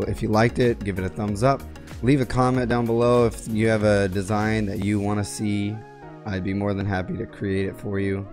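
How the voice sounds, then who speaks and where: A young man with a raspy, sick voice giving step-by-step software instructions in a plain, methodical way, clearing his throat now and then, recorded at home on a boom mic. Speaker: tech tutorial creator. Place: home gaming room, indoors. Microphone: boom mic on arm with headset.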